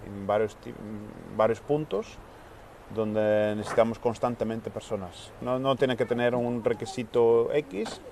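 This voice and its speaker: A man who coordinates a charity's refugee work answers interview questions earnestly, pausing often with "eh" and repeating words. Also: mid-range voice, a little mellow, slight background noise. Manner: earnest, searching for words with many hesitations.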